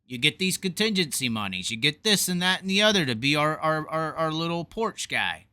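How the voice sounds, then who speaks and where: A man's voice: on the high side, fairly quick, smooth. A man hosting a sprint car racing call-in show, talking in a fired-up, repetitive, emphatic way. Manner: animated, repeats himself, fired up and emphatic. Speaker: podcast host. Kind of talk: casual opinionated call-in chat.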